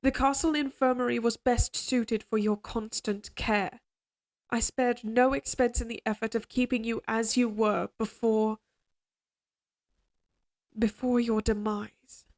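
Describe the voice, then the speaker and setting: A woman playing a regal, possessive queen in an audio roleplay drama, speaking in formal, measured courtly phrases, tender yet controlling. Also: fairly high pitch, steady tone, a little mellow. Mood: tender, reassuring.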